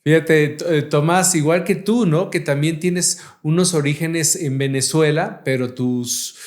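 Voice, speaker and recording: A man hosts a casual podcast interview. His voice sits fairly high for a man, and he introduces his guests with lavish praise and plenty of fillers. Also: a little slow. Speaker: podcast host, realtor.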